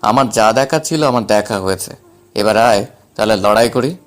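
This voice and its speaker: A man playing a protective, defiant young husband, the calm hero of an audio story, with a firm manner. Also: fairly low pitch, normal speed, faint music behind, close to an everyday voice. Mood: firm, challenging.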